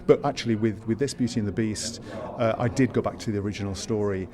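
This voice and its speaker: A man's voice: on the low side, fast. A man, a pantomime writer, answering interview questions with enthusiasm, rambling and restarting his sentences. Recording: crowd noise around the mic. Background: crowd chatter.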